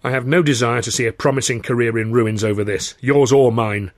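A man's voice: mid-range voice, steady tone, fairly quick, clean studio sound, natural voice. A man voicing a stern, pompous music-hall boss in a story, formal, clipped and commanding. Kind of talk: audiobook character voice.

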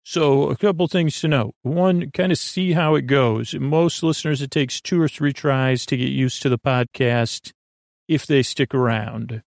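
A man with a creaky voice hosting a bedtime podcast in a meandering, halting monologue that keeps interrupting and repeating itself. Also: mid-range voice, no echo, clean studio sound. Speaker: podcast host.

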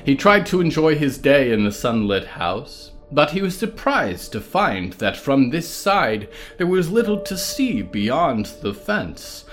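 A man narrates a literary fable at a middling pitch. His delivery is slow, dramatic and expressive, rising and falling freely over soft music. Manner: deliberate, dramatic. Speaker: storyteller.

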